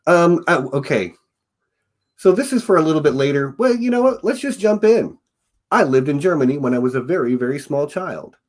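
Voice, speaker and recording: A man's voice: on the high side, animated, a little fast. A man hosting a podcast, talking over a video call in a friendly, upbeat way. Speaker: podcast host. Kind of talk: casual interview chat.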